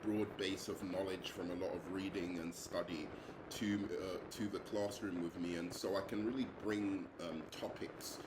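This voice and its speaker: A man's voice: low voice, fairly even intonation, normal speed. A young English man with a London accent, introducing himself as an English teacher, with frequent ums and uhs. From England. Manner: hesitant, many ums and uhs. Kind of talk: self-introduction video. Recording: echoey room with noise.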